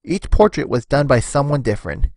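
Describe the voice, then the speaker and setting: A man narrates a story from a script in a lively, widely varying voice. The sound is close and dry, with hardly any room to it. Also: medium pitch, a little fast, no room sound.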